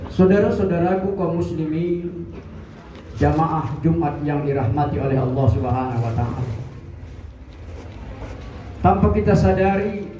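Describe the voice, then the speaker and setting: A man in his sixties giving a Friday mosque sermon, measured and building in emphasis. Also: sits high, dark tone. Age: 60s. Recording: echoey, distant, dull mosque recording with light noise.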